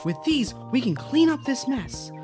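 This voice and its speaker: A woman's voice: high voice, big pitch swings, measured pace, music in the background. A woman playing a cheerful, bossy children's-show host in an audio drama, giving instructions in an upbeat, reassuring way. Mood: brisk, upbeat. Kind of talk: audio drama, parody of educational kids' show.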